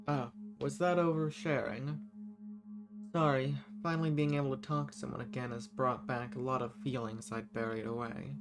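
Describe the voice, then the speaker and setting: A man acts out a seductive banished villain, an ancient and lonely schemer from a roleplay audio drama. He talks intimately to one listener, flirtatious and teasing with a theatrical turn. His voice sits at a middling pitch over clearly audible music. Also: close to an everyday voice. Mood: wistful longing.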